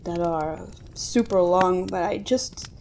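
A woman's voice: on the low side, takes it slowly, darkish tone, slight background noise. A woman co-hosting a podcast, talking in a casual, conversational way. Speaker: podcast co-host.

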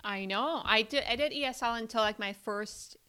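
A young woman from Iran chats as a guest on a talk show, with a bright, clear voice. She talks loosely and often fills with "like". Her first language is Farsi. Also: on the high side, moderate pace. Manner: chatty, says "like" often. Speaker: podcast guest. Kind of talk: casual chat.

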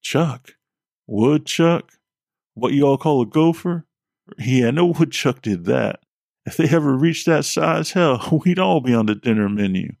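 A man's voice: mid-range voice, animated, unhurried, creaky, a little mellow, dry recording, clean studio sound, voice pushed up. A man voicing a blunt, joking plumber with a Vermont accent and a folksy, crude way of talking. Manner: folksy, drawling, a bit crude.

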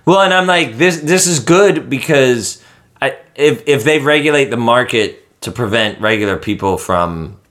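A man's voice: on the low side, fairly bright tone. A man in his late thirties in casual conversation, ranting and swearing freely, with lots of filler "like". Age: 30s. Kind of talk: casual chat.

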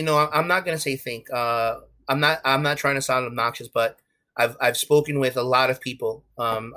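A man's voice: mid-range voice, sharp tone. A young man with a New York accent, talking candidly as an interview guest, with many restarts and fillers. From USA. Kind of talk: casual interview talk.

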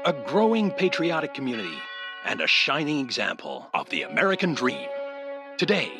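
A man narrating a retro mall commercial as an announcer, salesy and emphatic with drawn-out slogans. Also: medium pitch, huge pitch swings, music in the background.

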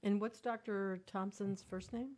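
Woman host, mid-pitched voice, asks curious, brief follow-ups. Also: steady tone, unhurried, a little mellow. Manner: interested, asks short follow-up questions. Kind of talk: casual interview.

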